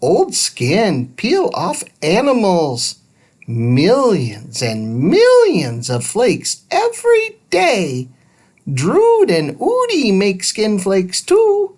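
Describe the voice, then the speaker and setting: A woman's voice: mid-range voice, wildly swooping pitch, drawn-out words, darkish tone, voice pushed up, exaggerated voice. A woman voicing a small helpful robot that explains things in clipped, broken sentences for a children's storybook. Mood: chirpy, informative. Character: small helpful robot with a face screen, speaking broken simplified English.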